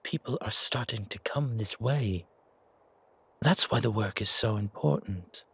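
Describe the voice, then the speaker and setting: A man's voice: on the low side, vocal fry, a bit bright, slightly rough, no room sound, full character voice. A man voicing an imperious, scolding yet protective ancient deity in a fantasy audio roleplay, commanding and teasing. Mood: insistent.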